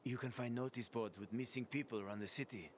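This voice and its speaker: A man's voice: mid-range voice, quick pace, a little hiss or hum, natural voice. An actor playing a weary, friendly young man who talks plainly and a little crudely, over game music. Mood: earnest. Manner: friendly, coaxing, a bit crude. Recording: game soundtrack music behind.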